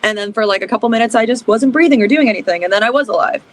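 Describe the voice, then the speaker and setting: A woman's voice: on the high side, rapid-fire. A young adult woman from Canada, a band's lead singer, talking in a casual, upbeat interview with lots of fillers. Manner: chatty, upbeat, many fillers. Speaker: band singer and guest.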